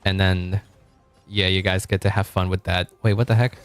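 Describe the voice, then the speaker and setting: A deep, low-pitched man from Argentina chats loosely with his stream audience, doubling back on his own words and laughing softly. He answers chat as he goes. Quiet music plays underneath. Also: level tone, moderate pace. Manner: rambling, self-correcting, chuckling, reading chat aloud. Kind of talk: casual livestream chat.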